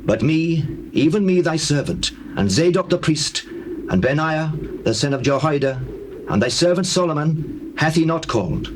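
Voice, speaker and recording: Guy doing indignant bible prophet, formal declaiming, highish voice, slight hiss. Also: animated, normal speed, close to an everyday voice. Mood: indignant, questioning. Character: grave, indignant prophet addressing his king. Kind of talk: dramatized scripture reading in biblical English.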